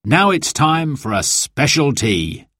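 A man's voice: fairly high pitch, sing-song, takes it slowly, fairly bright tone, breathy edge, dry recording, clean studio sound, pitched above the performer's own voice, heavily acted. A man doing a kind, welcoming mother.

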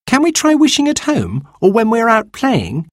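Male reader as a worried, impatient young boy, lifted high and bouncy, dry close sound. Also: huge pitch swings, moderate pace, no echo, exaggerated voice. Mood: eager. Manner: earnest storybook boy voice, raised higher.

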